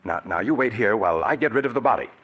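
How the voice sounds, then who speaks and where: An actor playing a cunning, persuasive brother in a 1940s radio drama. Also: male voice, fairly low pitch, animated, fast talker, a bit bright, highs cut off, faint music behind. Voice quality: persuasive, stammering theatrical delivery. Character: cunning brother, smooth schemer. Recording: old radio broadcast.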